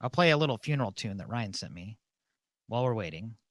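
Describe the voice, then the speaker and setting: A man's voice: lowish voice, sing-song, quick pace. A man casually hosting a comedic ceremony on a livestream. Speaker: host of a comedic mock-church livestream.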